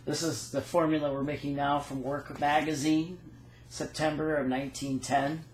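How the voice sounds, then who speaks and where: A man casually showing his notes and reading out dates and patent text, with many pauses. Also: fairly high pitch, flat delivery, roomy sound, slight background noise, low-quality recording. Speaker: phonograph cylinder maker. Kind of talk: casual show-and-tell walkthrough.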